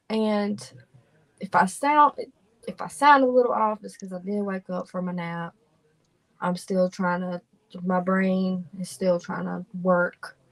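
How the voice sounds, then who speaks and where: A young adult woman hosting a livestream in a relaxed, drawling, chatty way. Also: medium pitch, poor audio. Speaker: streamer and host. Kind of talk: casual livestream chat.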